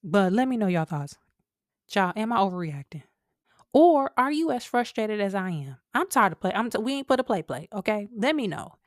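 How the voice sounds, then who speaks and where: An American woman hosts a podcast with mid-pitched delivery and very little room sound. She talks casually and colloquially, addressing listeners directly. Her opinions come out with emphasis and conviction. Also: sing-song, moderate pace, no room sound, clean studio sound. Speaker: podcast host.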